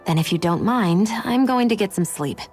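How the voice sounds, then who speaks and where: A woman plays a prickly young female healer from a fantasy game, with a mid-pitched voice. She speaks in a cool, blunt, impatient way over soft background music. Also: animated, moderate pace, natural voice. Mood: reluctantly softening. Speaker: game character voice. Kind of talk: scripted English game voice acting. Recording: background music under dialogue.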